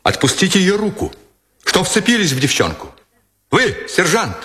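A man reading aloud voices a young army lieutenant who asks urgent questions and gives sharp orders. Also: fairly high pitch, sing-song, moderate pace, pitched above the performer's own voice. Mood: commanding. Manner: urgent, commanding, alarmed questions. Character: young male lieutenant, anxious and commanding.

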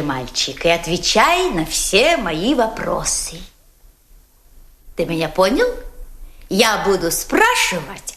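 A sly German interpreter who fawns on her officer and coos at a captive, her tone lilting and swooping. She talks in slow, drawn-out phrases and drops into hushed whispers. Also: medium pitch, heavily acted. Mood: coaxing. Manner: coaxing, sugary, slipping into whispers. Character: fawning German woman interpreter, sly and wheedling.

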